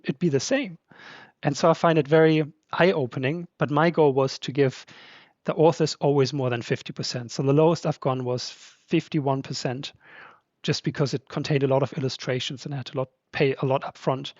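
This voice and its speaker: A man's voice: fairly high pitch, fairly even intonation, a little fast, darkish tone, dry recording, dull audio. A podcast guest who is a man, English not his first language, talking casually and chattily with many fillers. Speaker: podcast guest, translator and publisher. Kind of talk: casual conversation.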